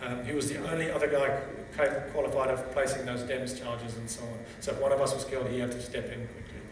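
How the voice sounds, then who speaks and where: A man's voice: medium pitch, little pitch movement, fast talker. Older man telling stories in an echoing hall. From Zambia. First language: Bemba. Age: 60s. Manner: conversational storyteller, frequent restarts and "okay?" check-ins. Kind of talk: testimony talk at a seminar. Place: large seminar hall. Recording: echoey hall from far off, with background noise.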